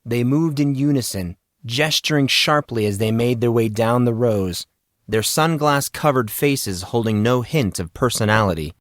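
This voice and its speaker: A man's voice: mid-range voice, moderate pace, no echo, studio quality. A man reading scripted fiction as a dramatic audiobook narrator.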